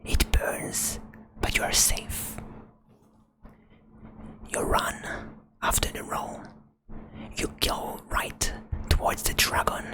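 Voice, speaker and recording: A woman whispering a tabletop roleplay adventure as game master, in slow, soft second-person storytelling. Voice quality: breathy whisper.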